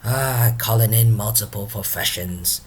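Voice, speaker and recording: A man's voice: on the low side, unhurried, breathy edge. A man playing a drunken, rambling porter in a theatrical Shakespearean soliloquy, exclamatory and dramatic. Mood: boastful, rueful. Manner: exclamatory, dramatic, swinging from loud calls to hushed muttering. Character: drunken, rambling comic castle porter playing at hell's gatekeeper.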